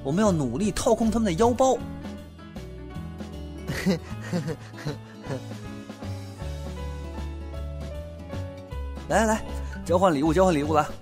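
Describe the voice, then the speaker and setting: A man acts out a young businessman in a Chinese audio drama. He is shrewd and bossy, coaching with animated, wide-ranging pitch, with faint music behind him. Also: moderate pace. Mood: excited, rallying. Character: shrewd, bossy, lively young businessman, soft-hearted underneath.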